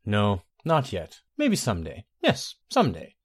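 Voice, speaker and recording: A man's voice: fairly low pitch, wildly swooping pitch, unhurried, husky, full character voice. A man voicing an eager, stubborn young female elf thief in a raised voice.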